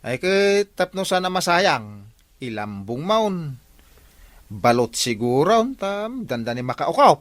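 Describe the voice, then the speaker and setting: A man's voice: a little high, sing-song, slow pace, a bit bright, dry recording, exaggerated voice. A man with an Ilocano Filipino accent playing a sly village patrolman in a comedy radio drama. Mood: teasing.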